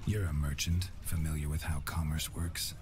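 A man voicing a gruff, weary monster hunter in a fantasy game, with a gravelly voice and terse deadpan delivery. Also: rumbling low, normal speed, music in the background. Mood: dry. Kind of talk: video game voice acting.

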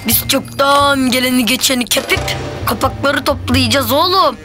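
A woman's voice: high voice, moderate pace, over music, close to an everyday voice. A gloating young troublemaker from a children's cartoon, played by a woman. He bosses his sidekick around with a smug, scheming edge and a raised voice. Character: scheming boastful boy, neighborhood troublemaker.

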